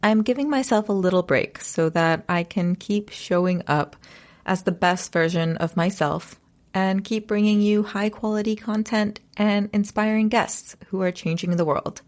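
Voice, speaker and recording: A woman's voice: mid-range voice, a little slow. A young adult woman hosting a podcast, reading a scripted intro and then interviewing a guest in an upbeat, enthusiastic way. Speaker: podcast host.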